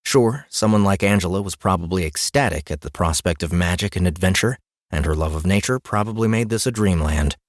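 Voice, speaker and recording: A man's voice: low voice, fairly quick, hardly any pauses, no echo. A man reading scripted fantasy fiction as an audiobook narrator, recorded close in a studio. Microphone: close studio mic, dry booth.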